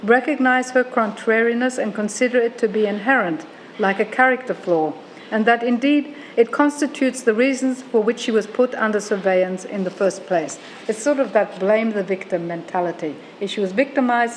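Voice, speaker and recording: A woman who grew up in Australia with German as her first language, giving an academic lecture. She reads at a measured pace, corrects herself, and turns to the audience with questions. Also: on the high side, slight background noise, low-quality recording. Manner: measured reading with asides to the audience and self-corrections. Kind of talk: academic lecture, reading a paper aloud. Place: lecture room.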